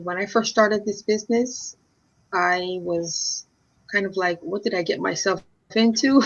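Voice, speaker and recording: A middle-aged woman from Barbados answers as a guest, measured and reflective. Her audio sounds a bit dull and lo-fi. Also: mid-range voice. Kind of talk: casual interview answers.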